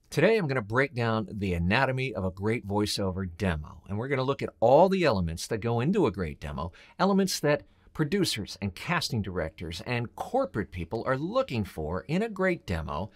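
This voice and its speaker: Middle aged guy giving blunt advice to camera, pitch jumps around a lot for emphasis, medium register. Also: huge pitch swings, normal speed. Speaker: host, voiceover coach and voice actor.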